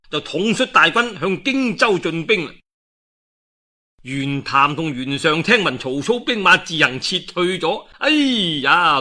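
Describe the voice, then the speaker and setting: A man with a Cantonese accent telling a historical tale in a dramatic, colloquial and expressive oral storytelling style. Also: on the high side. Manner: colloquial and expressive, with rhetorical asides.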